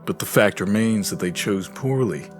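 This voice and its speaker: A man's voice: lowish voice, normal speed, soft background music, natural voice. A man playing a wise, compassionate immortal leader in a fantasy audio drama, solemn and formal. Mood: sorrowful, steadfast.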